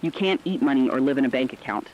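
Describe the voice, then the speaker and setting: A man playing a solemn radio commentator who speaks to survivors in an earnest, reflective, deliberate scripted style. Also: mid-range voice, animated, muffled recording, close to an everyday voice. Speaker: radio co-host.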